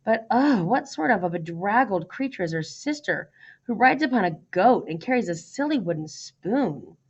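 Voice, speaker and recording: A woman's voice: mid-range voice, expressive, normal speed, slightly rough, highs cut off. A woman voicing gossipy, scornful townspeople in a storybook, exclaiming with disdain as they gawk at royalty. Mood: gushing, scornful. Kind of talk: storybook character voice.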